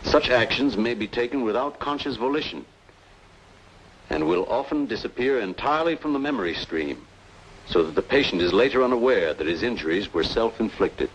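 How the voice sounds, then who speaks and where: Actor as grave, low-voiced radio doctor. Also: male voice, expressive, lightly acted. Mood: grave, explanatory. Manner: measured, formal, explanatory. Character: grave, authoritative male doctor, earnest and persuasive. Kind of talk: old-time radio noir drama acting. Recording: noisy vintage radio recording.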